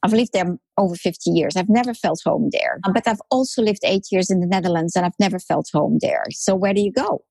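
Husky-voiced woman from France, emphatic. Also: on the low side, a little fast, hardly any pauses, darkish tone, no echo, poor audio. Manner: emphatic, repeats words for rhythm. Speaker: podcast guest. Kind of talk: storytelling interview talk.

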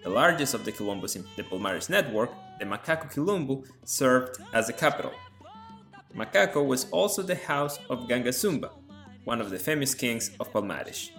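A man, a native Spanish speaker, narrating and explaining song lyrics as a host in a measured tone. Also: mid-range voice, very animated, fairly bright tone, faint music behind. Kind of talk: scripted narration.

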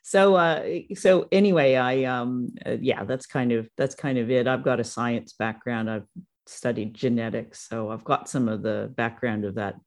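A man, a voice actor, talking casually in an interview, modest and halting, with many restarts and fillers. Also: medium pitch, steady tone. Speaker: voice actor guest.

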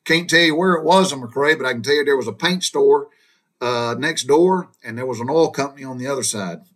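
A Southern man from Georgia spins tales from his boyhood in a loose, folksy drawl, circling back and restarting his sentences as he goes. His voice sits at an ordinary middle pitch for a man, easygoing and conversational, like someone talking straight to listeners who have heard his earlier stories. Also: fairly quick, a bit bright. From Georgia, USA. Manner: folksy, meandering, with frequent restarts and asides. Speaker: storyteller recounting his childhood. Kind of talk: casual spoken storytelling.

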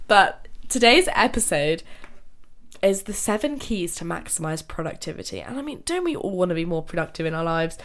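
Young female podcast host, slightly low-pitched, nasal from illness, casual, laughs easily. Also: very animated, moderate pace, fairly bright tone. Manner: chatty, laughs often, trails off and restarts. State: ill, nasal-sounding. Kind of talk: casual solo podcast talk.

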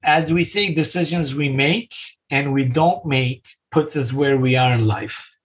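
A man's voice: on the high side, measured pace, breathy edge. A middle-aged man from Lebanon, earnestly giving life advice as a podcast guest. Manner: earnest, repeats simple advice. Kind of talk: casual advice in an interview. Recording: muffled lo-fi recording.

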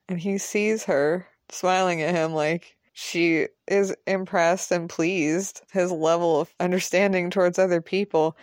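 A woman's voice: on the low side, a little mellow, dry recording, studio quality. A woman narrating an audio drama in the present tense, informal and wry.

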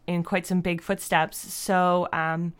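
Woman, Canadian accent, lowish pitch, casual interview chat, chatty, informal phrasing. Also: level tone, takes it slowly, smooth. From Fredericton, New Brunswick, Canada. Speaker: podcast guest, audio drama creator.

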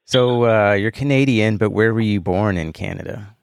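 Podcast host, man from Texas, low gravelly voice, easygoing, dry close sound. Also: moderate pace, no room sound. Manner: relaxed, brief replies, laughs along. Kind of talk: casual interview.

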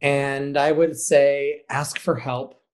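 A man of medium pitch speaks as a theater director being interviewed. His delivery is conversational and animated, and he slips into little bits of acted-out dialogue as he explains. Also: slow. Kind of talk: casual interview talk.

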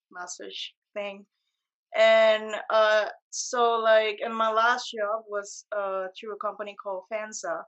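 A woman's voice: medium pitch, fairly bright tone, highs cut off. Young woman with a Puerto Rican accent. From Puerto Rico. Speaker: guest, photographer and motion graphic designer. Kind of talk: casual interview answers.

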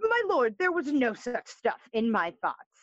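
A fairly high-voiced young courtier. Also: female voice, big pitch swings, a little slow, no echo, dull audio. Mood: amused, polite. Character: deferential, amused young courtier, old school friend of the prince. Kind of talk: Shakespearean audio play acting.

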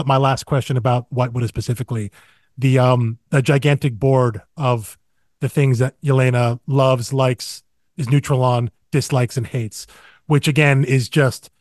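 A man's voice: mid-range voice, no room sound, clean studio sound. A man co-hosting a comics podcast, asking long enthusiastic questions and often repeating words as he talks. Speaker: podcast co-host. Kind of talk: casual interview questions.

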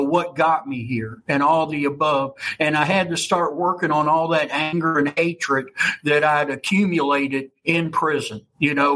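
A man's voice: fairly high pitch, bright, forceful tone, a little hiss or hum, low-quality recording. A man in his fifties with a Kentucky accent, telling his story as an interview guest in a blunt, salty, conversational way. He keeps checking in with his listener with "okay?" and "you know.". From United States. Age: 50s. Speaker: interview guest, ayahuasca ceremony leader.

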